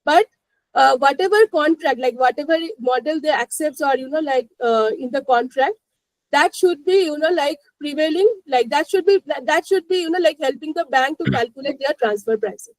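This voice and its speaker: Woman, Indian accent, high voice, dry close sound, webinar presenter, explanatory, filler-heavy phrasing. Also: moderate pace, no echo, low-quality recording. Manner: repeats 'you know, like' and checks understanding. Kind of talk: live explanatory lesson.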